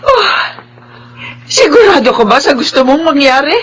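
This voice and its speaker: A man's voice: very high-pitched, sing-song, normal speed, fairly bright tone, exaggerated voice. A man playing a doting, persuasive older mother who owns a resort, in a Filipino radio drama. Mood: concerned.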